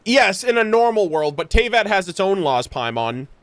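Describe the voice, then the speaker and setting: A man hosting a gaming video, giving casual, expressive, slangy reaction commentary close to the mic. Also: high-pitched, moderate pace, no room sound. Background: game music.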